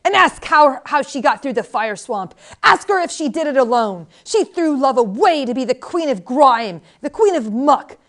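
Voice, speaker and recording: A woman voicing an ancient, withered old woman who yells defiant, scornful accusations in a storybook reading. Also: sits high, lively intonation, pitched above the performer's own voice. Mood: furious, scornful. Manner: yelling, emphatic, drawn-out denunciation.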